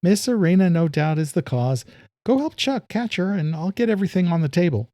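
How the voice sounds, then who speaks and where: A woman's voice: on the low side, animated, a little fast, mellow, no room sound, clean studio sound, pitched above the performer's own voice, close to an everyday voice. A man narrating a story, voicing an anxious middle-aged mother who talks in a soothing, eager-to-please way.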